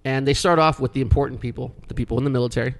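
A man's voice: mid-range voice, fairly even intonation, rapid-fire. A man in his forties, a podcast co-host telling a long, rambling story with lots of swearing and restarts. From Canada. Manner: rambling, profane, restarts often. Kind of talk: casual storytelling.

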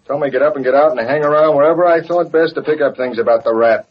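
An actor playing an eager, wisecracking American newspaper reporter in an old-time radio drama, full of slang. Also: male voice, on the high side, very fast, slightly rough. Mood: cocky, eager. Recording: muffled vintage radio recording.

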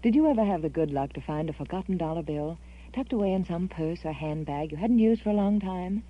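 An American woman reading a scripted 1940s radio commercial as spokeswoman, measured and emphatic, speaking directly to the listener. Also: on the low side, expressive. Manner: measured, emphatic, personal address. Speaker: advertising spokeswoman. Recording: dull old radio recording.

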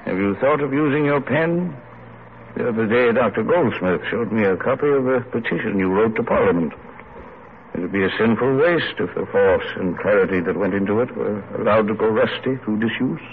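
An actor playing a genial, shrewd elderly gentleman statesman with courtly, measured phrasing, in an old radio drama. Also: lowish voice, close to an everyday voice. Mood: earnest, persuasive. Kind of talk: 1940s-50s radio drama acting. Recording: tinny, noisy old radio recording.

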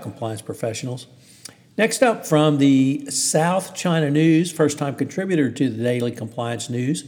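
Male news podcast host, medium pitch, conversational and halting commentary, long reverb. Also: a little mellow. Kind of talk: news commentary, semi-scripted. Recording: hall-like reverberant room.

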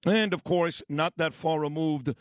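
A man's voice: fairly high pitch, no echo. A man with a Brooklyn accent hosting a morning radio talk show, emphatic and enthusiastic. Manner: emphatic, enthusiastic, fast-talking. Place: radio studio.

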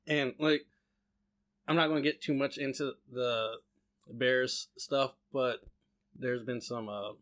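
A man co-hosting a podcast, telling a story in a hesitant way, with many pauses and restarts and a dry sense of humor. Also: medium pitch, steady tone, fairly bright tone.

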